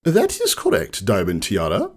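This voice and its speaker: A man's voice: low voice, wildly swooping pitch, moderate pace, voice pushed up, heavily acted. A man voicing a stern, contemptuous old female pony schoolteacher with a clipped, scolding, superior manner in a storybook reading. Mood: prim, instructive.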